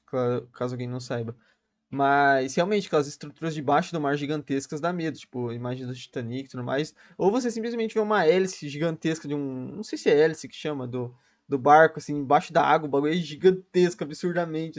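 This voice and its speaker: A man's voice: a little high, fast talker. A Brazilian man with a Brazilian Portuguese accent, chatting casually as a reaction streamer, slangy and restarting his sentences often.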